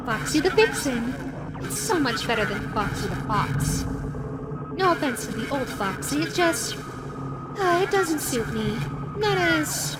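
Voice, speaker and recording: A woman's voice: sits high, poor audio. A woman voicing a flirty, menacing female animatronic fox in an audio roleplay, playful, coy and teasing. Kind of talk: audio roleplay addressed to the listener. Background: music.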